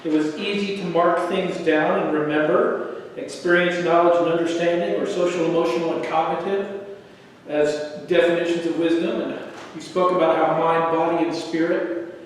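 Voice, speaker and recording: A man with a tenor voice evaluating a speech at a club meeting, measured and encouraging. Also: on the high side, fairly even intonation, slight background noise. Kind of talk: spoken feedback. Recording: echoey hall from far off.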